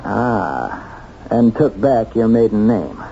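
A wry small-town newspaperman in an old radio drama. He is very dark and soft in tone with a heavy creak, asking casual, probing questions. The recording is dull with faint noise. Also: fairly low pitch, lively intonation, slow pace, exaggerated voice. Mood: wry, polite. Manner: casual, hesitant lead-ins, probing questions. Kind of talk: 1970s radio drama acting.

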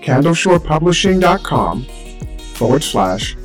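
A man's voice: fairly high pitch, big pitch swings, measured pace, soft background music. A man presenting a podcast, reading a scripted promotional announcement.

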